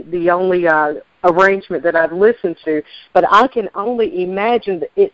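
A woman hosting a gospel radio interview, effusive and full of affirmation, answering her guests with quick amens. The recording is dry and a little dull, with no room sound. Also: mid-range voice, no room sound. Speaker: host.

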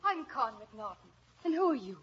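High-voiced, spirited young Irish lass. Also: female voice, sing-song, moderate pace, husky, full character voice. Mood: teasing, mysterious. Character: spirited, superstitious young Irish village beauty. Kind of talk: 1950s radio drama acting. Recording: muffled, faintly noisy old radio recording.

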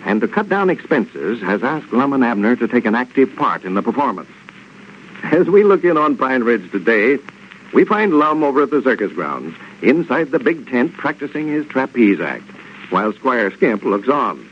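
Male announcer, American accent, lively intonation, 1930s radio sponsor read, muffled broadcast, faint noise. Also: medium pitch, normal speed.

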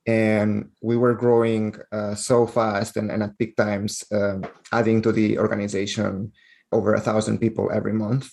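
Spanish-accented man with a gravelly low voice. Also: darkish tone. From Spain. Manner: hesitant, many fillers and restarts. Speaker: guest. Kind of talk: podcast interview.

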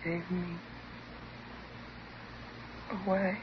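An actress playing a bitter, wounded divorced housewife, sarcastic and dramatic, in the style of 1950s radio drama. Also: female voice, on the low side, little pitch movement, extremely slow. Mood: wistful, disillusioned. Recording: muffled, noisy 1950s radio recording.

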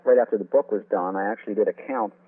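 A man with a fairly low voice speaks over a thin, phone-like line. He answers as an interview guest and expert, laying out his explanations in wordy, winding detail. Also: fairly even intonation, fast talker, slightly rough. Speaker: author and radio historian, interview guest.

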